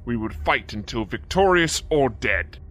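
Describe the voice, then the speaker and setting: A man's voice: mid-range voice, animated, measured pace, a bit bright. A man playing a dutiful, literal-minded alien zealot soldier in an amateur audio drama, speaking formally. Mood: dutiful certainty.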